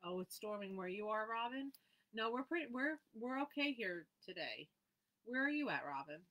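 A woman's voice: a little high, expressive, moderate pace, sharp tone, highs cut off. A woman hosting a live needlework sale, reading out item numbers and prices and chatting with viewers by name. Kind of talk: live sales presentation, casual chat.

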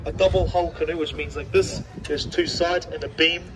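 A male tour guide speaks outdoors in a fairly high voice. He explains things to a group as commentary and keeps putting questions to them. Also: fairly even intonation, moderate pace, noisy background. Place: outdoors on a canoe tour boat.

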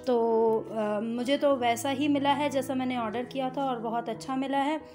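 A woman reviews a product at home in a casual, chatty vlog, pausing often on fillers. Her voice sits fairly high. Clear music plays under her speech. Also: steady tone. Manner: chatty, with many hesitations. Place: home, indoors.

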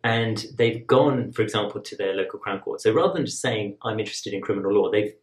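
A man in his thirties speaks to camera as an admissions tutor, measured and conversational, often checking in with a quick question. His voice sits a little low. The recording carries a noticeable roomy echo. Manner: measured, conversational, rhetorical asides. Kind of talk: explaining to camera, advice lesson.

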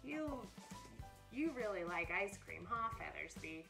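Woman, thirties, children's storyteller, animated, encouraging, music in the background. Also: medium pitch, unhurried, vocal fry. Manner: animated, encouraging, wide-eyed emphasis. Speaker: children's story reader, camp director. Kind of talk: expressive picture-book read-aloud and chat to children. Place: small indoor playroom.

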